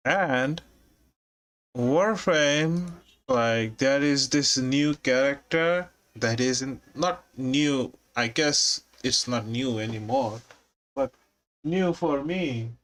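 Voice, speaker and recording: A man from Bangladesh, chatting casually on his live stream in a halting way, with many restarts. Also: medium pitch, fairly bright tone. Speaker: livestreamer.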